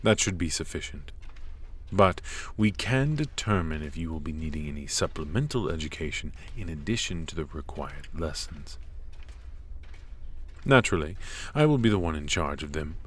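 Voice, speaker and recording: A man's voice: very deep voice, lively intonation, moderate pace. A man voicing a cold, strict royal professor of magic, stern and clipped, with dry, condescending remarks in an ASMR roleplay.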